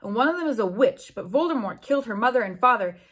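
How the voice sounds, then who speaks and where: A woman's voice: on the high side, lively intonation, quick pace, heavy background noise. A woman in her twenties reading aloud and reacting in an incredulous, theatrical, comedic way, swearing freely. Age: 20s. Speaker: book channel host. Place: small room at home with bookshelves, indoors.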